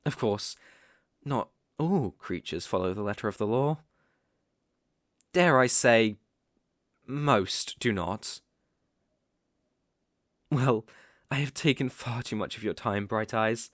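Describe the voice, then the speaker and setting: A man with a British accent playing a smooth-talking, sinister werewolf posing as a protective gentleman, speaking formally and measuredly in an ASMR roleplay. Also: mid-range voice, no echo, clean studio sound, lightly acted. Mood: explaining, polite. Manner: courtly, formal, measured, insinuating. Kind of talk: ASMR roleplay audio acting.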